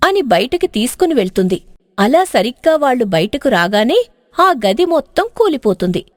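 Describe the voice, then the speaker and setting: A woman's voice: sits high, sing-song, moderate pace, dry recording, clean studio sound. A woman storyteller giving dramatic scripted narration of a moral tale.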